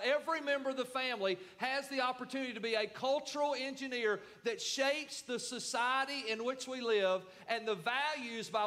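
A man's voice: very high-pitched, a bit bright. A middle-aged man preaching a sermon as pastor, emphatic, with repetition and calls for the congregation to respond. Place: church sanctuary.

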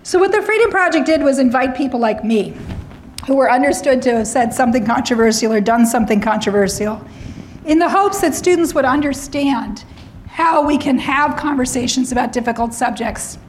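A middle-aged woman lecturing on academic freedom, slightly hoarse, often clearing her throat and adding wry asides. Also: high voice, expressive, brisk, a little hiss or hum, poor audio.

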